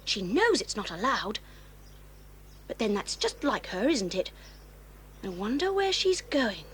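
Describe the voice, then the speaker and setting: A woman's voice: mid-range voice, big pitch swings, measured pace, a little hiss or hum. A woman playing a snobbish, sly schoolgirl with a prim, haughty manner in a children's audio drama. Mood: nosy disapproval.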